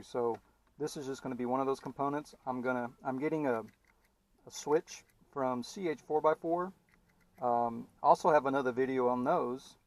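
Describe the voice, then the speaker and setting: A man with a mid-range voice talks through a hands-on installation as a DIY vlogger. His delivery is informal and halting, as if thinking aloud while he works. The style is that of a casual how-to video. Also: mid-range voice, dry recording.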